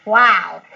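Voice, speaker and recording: High-voiced Indonesian woman on muffled webinar. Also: vocal fry, pushed and bright. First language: Indonesian or Javanese. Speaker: professor, guest webinar speaker. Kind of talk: informal explanatory answers in a webinar. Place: online video call. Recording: dull, distant webinar microphone.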